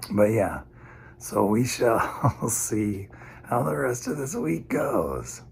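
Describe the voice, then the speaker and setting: Hoarse man with a cold, gravelly rasp, slow unhurried talk, casual video diary, thinking out loud. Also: fairly low pitch, big pitch swings, a little hiss or hum. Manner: rambling, thinking aloud with small laughs. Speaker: health vlogger.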